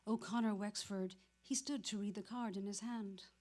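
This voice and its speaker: Woman narrating literature, medium-pitched. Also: steady tone, moderate pace, darkish tone.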